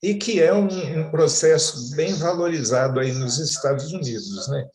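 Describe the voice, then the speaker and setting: Brazilian interviewer, somewhat high voice, polite, reads viewer comments, roomy echo. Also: male voice, normal speed, a little mellow. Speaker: interviewer, professor. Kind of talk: interview hosting.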